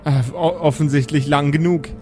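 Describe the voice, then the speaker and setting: A man's voice: a little high, fairly even intonation, a little fast, warm tone, soft background music. A man narrating an improvised tabletop audio play as game master.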